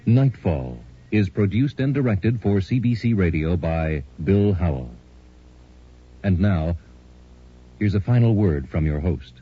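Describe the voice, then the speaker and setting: A man reading the cast and crew credits of a radio drama in a measured, formal way. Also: low voice, lively intonation, husky. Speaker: announcer. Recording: dull old radio broadcast with music.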